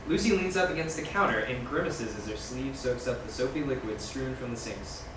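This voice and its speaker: A man's voice: medium pitch, fairly quick. A man reading scene directions as scripted narration at a screenplay table read. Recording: distant, very echoey room with background noise.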